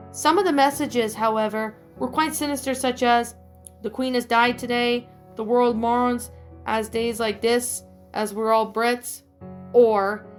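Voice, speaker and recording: A woman narrating a scripted horror story, reading aloud and now and then stumbling over the words. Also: a little high.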